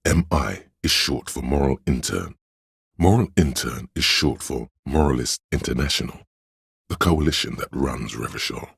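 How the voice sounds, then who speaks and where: Very deep, scholarly know-it-all narrator. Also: male voice, expressive, a bit bright, no echo, clean studio sound, heavily acted. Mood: measured, informative. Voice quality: very deep, smooth, resonant. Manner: measured, scholarly, dryly condescending. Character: erudite encyclopedic inner voice, a measured, dryly condescending know-it-all man. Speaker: game narrator. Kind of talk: scripted game narration.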